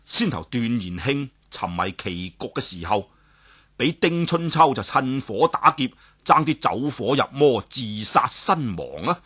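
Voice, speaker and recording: A man with a Cantonese accent telling a martial-arts story in a lively, dramatic storyteller's manner, with sudden exclamations and voices for the characters. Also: mid-range voice, a little slow, breathy edge.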